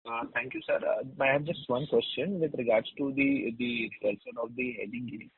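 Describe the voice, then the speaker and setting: A man questions management as an analyst. He has a husky, medium-pitched voice on a dry, narrow telephone line. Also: a little fast, dry recording. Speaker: financial analyst asking questions. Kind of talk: earnings call questioning. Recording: dry band-limited phone line.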